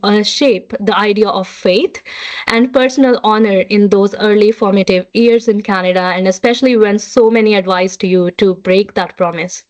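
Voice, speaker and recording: A woman's voice: medium pitch, steady tone, moderate pace, darkish tone, lo-fi sound. A woman interviewer welcoming a guest and asking prepared questions in a formal, careful way.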